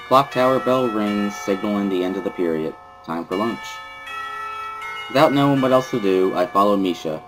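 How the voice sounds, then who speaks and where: A man's voice: sits low, slight background noise, rough recording. A man giving an understated, introspective first-person dramatic reading of a visual novel as its narrator. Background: guitar music.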